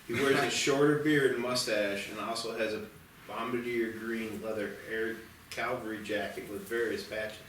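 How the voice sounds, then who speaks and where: A man's voice: lowish voice, fairly even intonation, moderate pace, a bit bright, a little hiss or hum. A man reading scripted stage directions as the narrator at a screenplay table read. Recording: very echoey room, distant mic, music behind.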